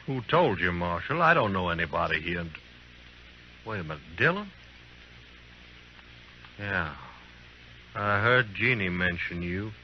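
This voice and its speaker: A man's voice: low-pitched, big pitch swings, unhurried, long pauses. An actor playing a sneering, menacing gunman in an old-time radio western, taunting and insinuating. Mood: defiant, probing. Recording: muffled, noisy vintage radio recording.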